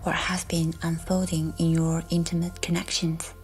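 A woman softly narrating a scripted guided meditation in a breathy, near-whispered, soothing delivery. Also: sits low, monotone, normal speed. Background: music.

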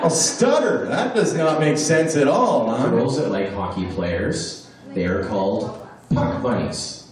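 A man's voice: medium pitch, sing-song, measured pace, a crowd in the background. A middle-aged man works a small club stage with a handheld mic, telling crude jokes in a loose, chatty way. His voice bounces around the room. It is picked up from well back in the club. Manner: loose, conversational delivery with crude punchlines. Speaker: stand-up comedian. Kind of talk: stand-up comedy set. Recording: echoey room recorded from a distance.

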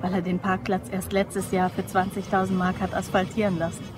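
A woman's voice: medium pitch, little pitch movement, a little fast, over music, natural voice. An actress playing a guarded, teasing young office woman in a German crime radio play. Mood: matter-of-fact, coy.

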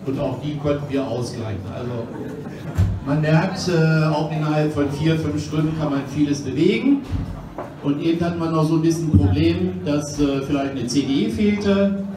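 A man's voice: a little high, moderate pace, recorded from a distance. A man giving an informal address as an event announcer, recorded from the audience in a hall. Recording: echoey, noisy hall from afar.

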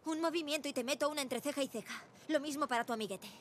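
A woman plays a teenage girl survivor in a video game, tough and guarded. Her voice sits on the high side, with a Castilian Spanish accent. She speaks curtly and defiantly over noticeable ambient noise. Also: fast, natural voice. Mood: wary, threatening. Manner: curt, defiant.

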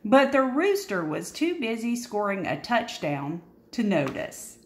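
Woman, children's storybook narration, very slow pace, lively intonation, expressive emphasis, echoey room. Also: medium pitch, a little hiss or hum. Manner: expressive, dramatic pauses and emphasis. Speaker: storybook reader. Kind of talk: read-aloud children's picture book narration.

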